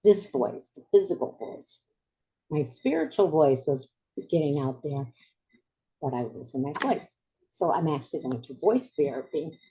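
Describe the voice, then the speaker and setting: A woman in her seventies hosting a podcast interview, chatty and quick to laugh at herself. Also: deep voice, sing-song, dark tone, slight background noise, low-quality recording. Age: 70s. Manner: chatty, laughs easily, self-deprecating. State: recovering from voice loss. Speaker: podcast host.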